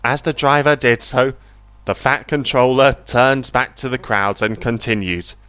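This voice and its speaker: A man's voice: mid-range voice, steady tone, unhurried, fairly bright tone, smooth, dry recording. A man reading a scripted children's story as its narrator, close on the microphone.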